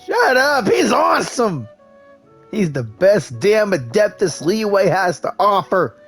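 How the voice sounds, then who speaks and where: Hyped up guy streaming a game, brash and loud, little bit of music behind. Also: high voice, slow pace, breathy edge. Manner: excitable, brash, hyped reactions. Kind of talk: casual gameplay commentary.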